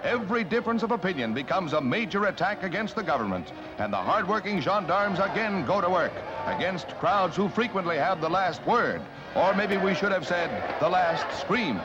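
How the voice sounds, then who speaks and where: A high, sweeping man's voice with an American accent delivers dramatic 1940s newsreel narration. Prominent music plays behind him on a dull, lo-fi old soundtrack. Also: sing-song, moderate pace, hardly any pauses.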